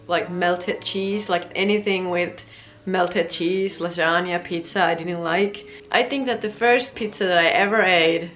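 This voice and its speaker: Soft-spoken Finnish woman in her twenties. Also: on the low side. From Finland. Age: 20s. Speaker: vlogger. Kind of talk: casual Q&A chat. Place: home room with plants, indoors.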